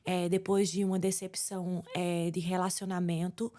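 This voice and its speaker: A woman's voice: fairly low pitch, little pitch movement, moderate pace, dark tone. A woman pastor in her forties from Paraíba with a Northeastern Brazilian accent, speaking earnestly as a guest in an interview. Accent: Northeastern Brazilian Portuguese. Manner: earnest, reflective, with frequent restarts. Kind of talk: conversational interview.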